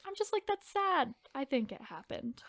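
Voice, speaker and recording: A woman with a fairly high voice co-hosts a podcast. She tells things in an informal, conversational way, sometimes reading from prepared notes. The sound is dry, with very little room echo. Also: sing-song, moderate pace, fairly bright tone. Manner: informal, filler-heavy, hedging retelling. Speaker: podcast co-host telling the story. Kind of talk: casual chat mixed with reading from notes.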